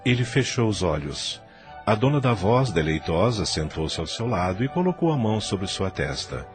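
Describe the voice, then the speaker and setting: Guy narrating an audio drama, lowish voice, really varied intonation, faint music behind. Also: very animated, moderate pace.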